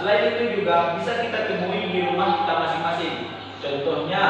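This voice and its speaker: A young man from Indonesia teaching a class, explaining slowly and pausing often on "nah". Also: high-pitched, background noise. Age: young adult. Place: classroom indoors. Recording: echoey roomy classroom, distant mic, dull.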